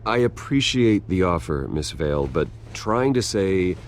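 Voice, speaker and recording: An actor playing a guarded, wealthy heir in a video game, with a measured, serious manner. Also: male voice, low-pitched, expressive, slight background noise. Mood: guarded, resolute. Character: wealthy, guarded male heir under public attack.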